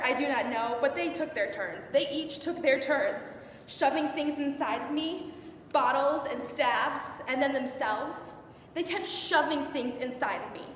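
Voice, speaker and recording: A young woman playing an anguished biblical concubine in a dramatic stage monologue, with halting, repetitive, intense delivery. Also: sits high. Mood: desperate, anguished. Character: anguished young unnamed biblical concubine recounting her ordeal. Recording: echoey hall from far off, faint noise.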